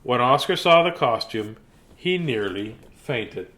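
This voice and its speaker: A man reading a children's bedtime story theatrically, with dramatic pauses and playful asides. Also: medium pitch, expressive, fairly bright tone.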